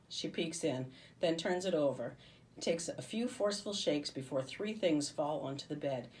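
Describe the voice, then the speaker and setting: Female teacher as storybook narrator: low voice, animated, expressive read-aloud delivery. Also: far from the mic, slight background noise. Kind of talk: expressive children's book narration.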